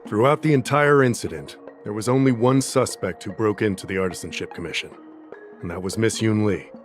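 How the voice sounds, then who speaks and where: A man voicing a self-assured official in a video game, speaking formally and deliberately as he lays out accusations. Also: fairly low pitch, lightly acted. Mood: confident, accusing. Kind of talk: scripted video game dialogue acting. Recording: music behind the voice.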